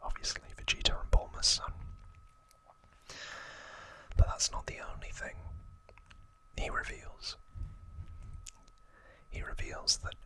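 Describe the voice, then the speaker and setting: A man hosting an ASMR explainer in a breathy, unvoiced whisper, telling it in hushed, deliberate phrases with pauses. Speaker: ASMR video host. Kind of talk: whispered ASMR explainer, scripted-feeling trivia retelling with asides.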